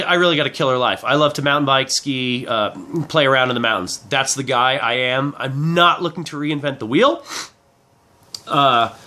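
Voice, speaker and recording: A man in his forties speaks in a fairly high, bright and forceful voice while fighting a head cold. He delivers a casual, rambling online pitch, often doubling back to rephrase what he just said. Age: 40s. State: head cold, clearing throat. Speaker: affiliate marketing reviewer.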